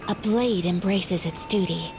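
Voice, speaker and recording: A woman's voice: fairly low pitch, a little slow, noisy background. A woman voicing a poised, courteous warrior in a fantasy video game. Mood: composed, resolute. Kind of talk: video game character dialogue.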